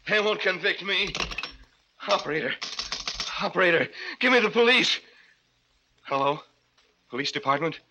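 An actor playing an anxious, wronged husband in an old-time radio drama, with an urgent, bewildered and pleading manner. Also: male voice, on the high side, normal speed, pauses often, noisy background, natural voice. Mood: shaken resolve. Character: anxious husband, framed friend, agitated and desperate. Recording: muffled, band-limited old radio recording.

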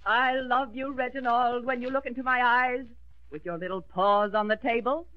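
An earnest, affected young starlet hopeful in a vintage radio comedy. She speaks formally with very lively pitch, on a dull, lo-fi old recording. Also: fast, crowd noise. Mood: theatrical. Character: earnest, affected young aspiring screen actress. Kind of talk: 1930s-40s radio comedy acting.

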